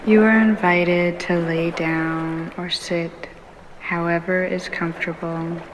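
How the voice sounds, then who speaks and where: A woman leading a guided meditation in a soothing ASMR style, speaking in short unhurried phrases with long pauses. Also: fairly low pitch, flat delivery, background noise. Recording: waves and rain sound clearly behind. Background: ambient ocean waves and rain.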